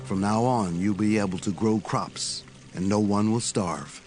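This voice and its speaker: An actor playing a firm, solemn Hebrew prophet in a dramatized audio Bible, with an earnest, declamatory manner. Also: male voice, lowish voice, measured pace, darkish tone, soft background music, close to an everyday voice. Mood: solemn, assured.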